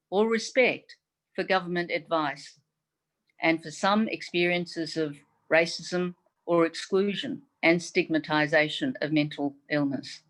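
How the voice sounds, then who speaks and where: A woman from Taiwan whose first language is Mandarin, a chief medical advisor giving a prepared forum speech in a measured, formal way with frequent "um"s, heard over a video call. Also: lowish voice, a bit bright. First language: Mandarin Chinese.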